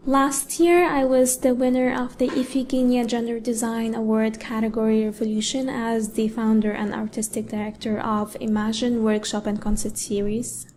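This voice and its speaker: A woman from Egypt, a pianist and educator, giving a prepared speech in a measured way with deliberate pauses. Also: a little high, little pitch movement, darkish tone, a little hiss or hum. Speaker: award-winning pianist and educator giving a talk.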